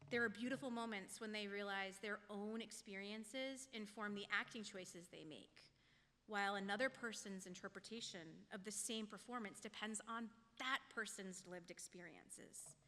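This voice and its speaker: A woman giving a prepared, reflective sermon as a lay speaker, in a measured, questioning manner. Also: mid-range voice, pushed and bright. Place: church sanctuary. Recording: long church hall reverb.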